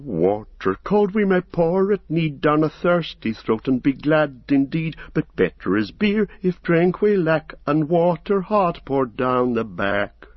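A man's voice: fairly high pitch, no echo, voice pushed up. A man voicing Pippin, a cheeky, exuberant young male hobbit who sings in the bath. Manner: jubilant, sing-song. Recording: slightly muffled dry recording.

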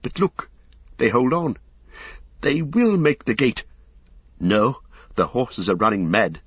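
A man's voice: lowish voice, moderate pace, husky, no room sound. A man voicing a grave, loyal adult guardsman with solemn, old-fashioned speech, from an audiobook reading. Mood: alarmed. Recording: dull lo-fi audiobook recording.

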